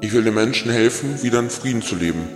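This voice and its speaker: A man's voice: medium pitch, expressive, quick pace, natural voice. Radio play: God, played by a man, authoritative and solemn, drenched in hall reverb over music. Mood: solemn, commanding. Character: God, solemn commanding male ruler of heaven.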